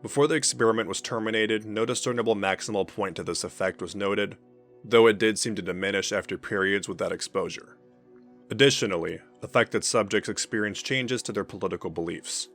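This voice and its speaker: A man reading a scripted report as narrator, in a formal and measured manner. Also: lowish voice, a bit bright, soft background music.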